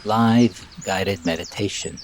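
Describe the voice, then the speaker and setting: A man leading a live guided meditation in a calm, measured way, in short phrases with long pauses, outdoors. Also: lowish voice, a little hiss or hum. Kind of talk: live guided meditation, spoken softly and unscripted. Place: outdoors by water and trees.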